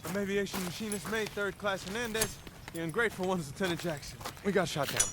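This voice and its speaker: An actor playing an earnest, alert navy aviation mechanic in a war game. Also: male voice, high voice, fast, noisy background, natural voice. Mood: matter-of-fact. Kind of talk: cinematic war game acting.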